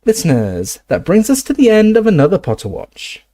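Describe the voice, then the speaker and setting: A man's voice: high-pitched, huge pitch swings, normal speed, mellow, voice pushed up. A man voicing a young host of a secret radio show, signing off in an upbeat broadcaster's manner.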